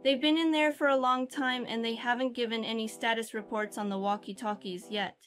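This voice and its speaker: A woman's voice: on the high side, steady tone, fairly quick, faint music behind, close to an everyday voice. A woman playing a concerned, dutiful monster-fighter teammate in a cartoon comic dub. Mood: worried.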